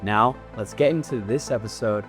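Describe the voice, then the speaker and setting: Brisk male debate show host. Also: lowish voice, faint music behind.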